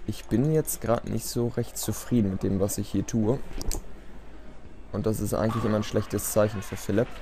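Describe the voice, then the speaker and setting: A man's voice: fairly low pitch, fairly even intonation, normal speed, noisy background. A man giving casual, self-deprecating gameplay commentary in a resigned, rambling way. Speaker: let's play commentator. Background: game music.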